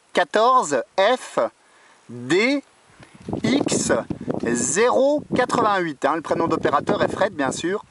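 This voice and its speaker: A man's voice: sits high, noisy background. A man working as an amateur radio operator, chatting over a radio contact and often tagging his phrases with small filler checks. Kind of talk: radio contact chat, spelling out call signs.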